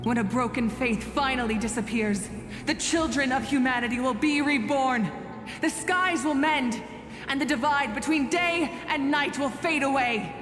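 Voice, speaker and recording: A woman's voice: high voice, moderate pace, a bit bright. A woman playing a resolute, compassionate young heroine in a solemn video game drama. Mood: earnest, forgiving. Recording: echoey hall reverb under music.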